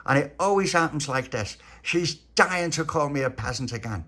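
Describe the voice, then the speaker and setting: A man's voice: a little high, lively intonation, moderate pace, sharp tone, voice pushed up. A man doing an indignant cheeky boy. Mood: protesting. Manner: protesting, stammering.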